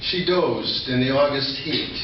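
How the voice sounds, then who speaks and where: An older man presents his poetry at a live reading. His voice sits at an average pitch. It comes from far off in a very echoey space, with loud noise over the recording. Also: unhurried. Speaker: poet. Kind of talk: poetry reading with informal spoken introduction. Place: indoor reading venue, recorded from a distance. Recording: echoey room, distant mic, dull, noisy.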